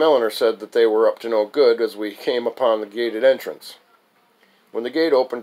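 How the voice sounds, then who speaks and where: A man's voice: medium pitch, a little mellow, rough recording. A man reading his own first-person story aloud as its author, in a recitation style with the occasional stumble over the text.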